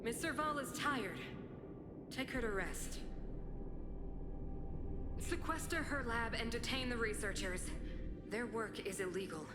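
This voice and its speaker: A woman's voice: a little high, a little slow, pauses often, lightly acted. A woman playing a haughty, commanding female ruler turned villain in a dramatic video game. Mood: stern, cold. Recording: loud music over the dialogue.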